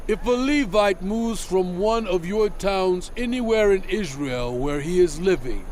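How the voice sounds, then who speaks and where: A solemn prophet and lawgiver, declaims scripture to his people over music. His voice is high for a man, and he delivers each phrase slowly and deliberately, in the manner of an audio drama. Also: a little mellow. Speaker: narrator voicing a prophet in a dramatized audio Bible. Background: background music.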